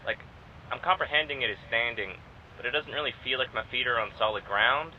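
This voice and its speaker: An actor playing a wry young test subject in an audio drama, speaking informally and haltingly with a sardonic edge. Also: male voice, mid-range voice, lo-fi sound, a little hiss or hum, lightly acted. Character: wry, rambling young man describing his memories, guarded test subject. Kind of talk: naturalistic audio drama acting.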